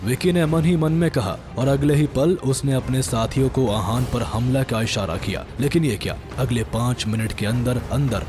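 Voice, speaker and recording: A man's voice: medium pitch, normal speed, few pauses, noisy background. A man narrating a scripted story in a dramatic storytelling style.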